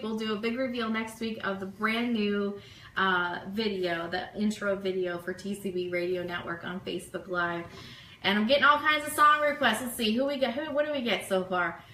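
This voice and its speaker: A chatty, enthusiastic woman co-hosting a casual livestream radio show, reading out requests and shout-outs. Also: fairly high pitch. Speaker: co-host of an online fan radio show. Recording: roomy echo, clear background noise.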